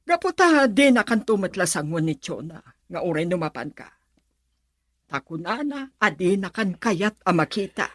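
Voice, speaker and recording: A woman's voice: on the low side, sing-song, normal speed, no echo, clean studio sound. A Filipino woman playing an anxious, coaxing mother of a grown son in a radio drama. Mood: worried, pleading.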